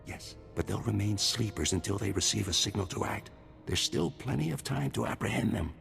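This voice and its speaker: An actor playing a smug, amoral scientist villain in a video game, speaking with unctuous, mocking politeness. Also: male voice, fairly low pitch, lively intonation, a little fast, gravelly, music in the background. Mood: smugly pleased. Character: smug amoral male surgeon-scientist, a glib collaborator villain. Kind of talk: scripted video game cutscene acting.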